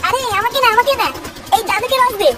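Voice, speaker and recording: An extremely high, squeaky cartoon young guy. He rattles out crude, excitable banter very quickly, over clear background noise. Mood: cheeky, brash. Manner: crude, rapid slangy banter. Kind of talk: comedy cartoon dialogue.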